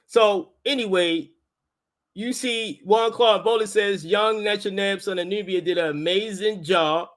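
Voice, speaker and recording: High-voiced Baltimore man, insistent and colloquial. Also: fairly bright tone. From Baltimore, United States. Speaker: host, linguistics teacher. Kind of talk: casual live talk with debate.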